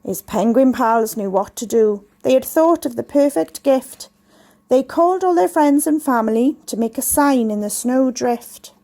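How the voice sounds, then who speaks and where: Woman doing storytime for kids, high voice, takes it slow, expressive. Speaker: school staff member reading a story. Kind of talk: storytelling for children, read aloud.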